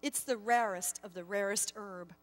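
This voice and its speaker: A woman's voice: mid-range voice, normal speed, in a large hall, faint music behind, pitched below the performer's own voice, lightly acted. A woman playing a proud, commanding male warrior king in a storybook, emphatic and defensive, stammering when challenged.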